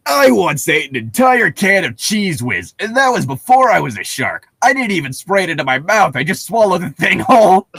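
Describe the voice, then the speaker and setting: Man putting on a boastful shark dude, very animated. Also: high voice, moderate pace, few pauses, breathy edge, no room sound, heavily acted. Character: radical half-shark dude hero, boastful, ravenous. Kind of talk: mock-heroic first-person story narration.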